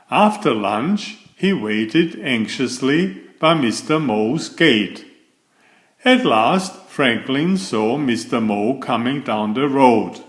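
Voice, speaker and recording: Slow, lively male storybook reader. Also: mid-range voice. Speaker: narrator reading a children's picture book. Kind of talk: read-aloud storybook narration.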